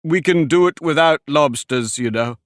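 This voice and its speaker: A man voicing a weepy, melancholy male sea creature who talks slowly and mournfully. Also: on the high side, dry recording, studio quality, pitched above the performer's own voice, lightly acted. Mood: sad. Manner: slow, drawn-out and mournful.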